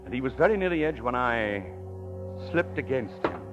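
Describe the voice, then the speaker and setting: A man's voice: mid-range voice, big pitch swings, normal speed, highs cut off, over loud music, close to an everyday voice. An actor playing a genteel older con-man professor in an old radio suspense drama, avuncular and wordy, given to flustered stammering. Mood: grave confession.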